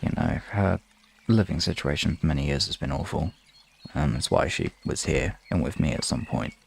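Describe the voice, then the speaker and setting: A man with a gravelly, husky voice chatting casually to live-stream listeners in an informal, halting way with some swearing. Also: a bass voice, animated.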